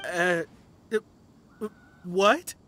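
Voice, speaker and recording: A man's voice: high-pitched, very slow, pushed and bright, a little hiss or hum, exaggerated voice. A man voicing a nervous, superstitious townsman in a video game, flustered and hesitant. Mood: bewildered. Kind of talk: scripted video game voice acting. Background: game soundtrack music.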